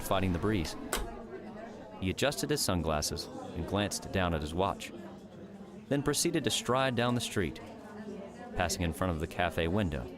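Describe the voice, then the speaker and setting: Low-voiced man narrating over music. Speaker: audiobook narrator. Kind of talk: scripted story narration.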